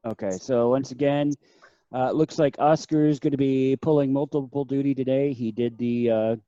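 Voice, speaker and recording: A man's voice: medium pitch, fairly even intonation, moderate pace, dark tone, dry recording. A man chairing a meeting on a video call, checking details aloud in an easygoing way. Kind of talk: casual meeting chat. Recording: dull, dry video call audio.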